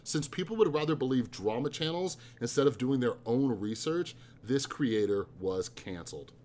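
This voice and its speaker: A man in his thirties reading a chapter of his own book aloud in a steady, subdued tone. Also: medium pitch, moderate pace. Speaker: author.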